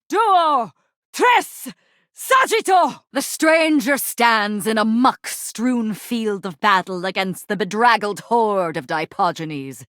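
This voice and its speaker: A proud warrior trainer obsessed with battle, speaking in grand, archaic game-character style. The delivery is slow and deliberate, with a fairly high voice that swings widely in pitch. Every phrase is declaimed with theatrical pomp. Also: female voice, fairly bright tone, no echo, studio quality. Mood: battle cry, then solemn, dramatic.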